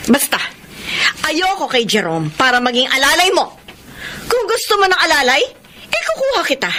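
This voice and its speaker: Stern wealthy mother, radio drama, high bright voice, emphatic, forbidding. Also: big pitch swings, normal speed, a little hiss or hum, heavily acted. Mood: indignant, refusing. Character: stern, status-conscious wealthy mother of a grown son. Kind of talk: Tagalog radio drama acting.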